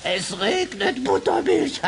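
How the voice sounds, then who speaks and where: An actor playing a wise, mocking talking raven who nags in drawn-out croaks, in a children's radio play. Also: medium pitch, big pitch swings, slight background noise, full character voice. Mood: mocking, gleeful. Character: talking male raven, wise, scolding, mocking old friend.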